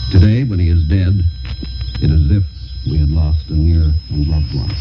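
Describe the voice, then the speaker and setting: A man reading a solemn, measured, formal editorial in the style of a 1960s radio broadcast, over shortwave. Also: rumbling low, muffled recording. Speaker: radio commentator and announcer. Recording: noisy, band-limited 1960s shortwave radio recording. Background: loud shortwave static and noise.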